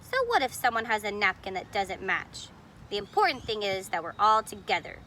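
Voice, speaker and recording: Woman reading as an animated, forgetful storybook grandmother. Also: mid-range voice, moderate pace, slight background noise. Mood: unbothered.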